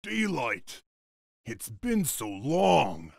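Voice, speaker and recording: A man's voice: medium pitch, huge pitch swings, extremely slow, vocal fry, no echo, studio quality, exaggerated voice. A man playing a loyal, weary freed warrior in a video game, earnest and deferential to his leader. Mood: overjoyed. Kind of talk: dramatic video game cutscene acting.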